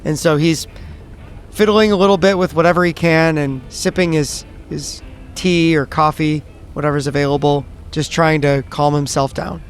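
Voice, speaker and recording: Higher-pitched man playing a tabletop game. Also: fairly even intonation, moderate pace, slight background noise. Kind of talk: describing his character's actions.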